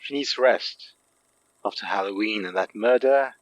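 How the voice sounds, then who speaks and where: Cocky, sarcastic, low-voiced occult sleuth played by a man. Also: a little slow, dry recording, dull audio, close to an everyday voice. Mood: defensive. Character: Ashvin, wry, cocky, protective male occult sleuth. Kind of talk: naturalistic audio drama acting.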